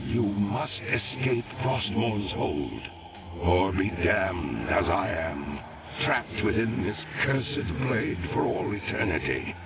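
A low, solemn, damned ghost. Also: male voice, sing-song, takes it slowly, over loud music, exaggerated voice. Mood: grave warning. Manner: solemn, grave. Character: sorrowful, solemn ghost of a damned older man. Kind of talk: video game character acting.

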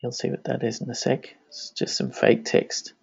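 A man with a gravelly edge to his mid-pitched voice talks casually through a software tutorial, narrating each click as he goes. He speaks English, though his first language is Danish. The recording is dry and dull, with mouse clicks behind him. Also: flat delivery, moderate pace, no room sound. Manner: casual, thinking aloud while clicking. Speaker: web tutorial instructor. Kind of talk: screencast tutorial walkthrough.

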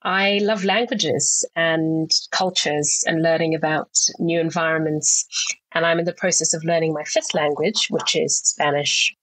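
Measured, articulate woman from Zimbabwe. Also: sits low, fairly even intonation, fairly bright tone, no room sound, rough recording. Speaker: guest, actuary and board member. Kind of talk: podcast interview answers.

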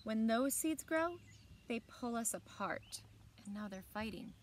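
A woman in her thirties reading aloud to children in an expressive storytelling style, with short asides. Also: a little high, a little slow, a little hiss or hum. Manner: expressive, clearly paced reading to young listeners. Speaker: library story time reader. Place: outdoors on a lawn beside evergreen trees. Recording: outdoor ambience with birdsong. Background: birds and outdoor ambience.

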